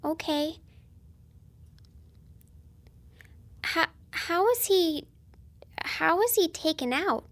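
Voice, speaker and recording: High-voiced, earnest little girl. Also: animated, slow pace, exaggerated voice. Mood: hesitant, quiet. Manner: hesitant, earnest questioning. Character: excitable young British niece, earnest and curious little girl. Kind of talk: radio drama acting.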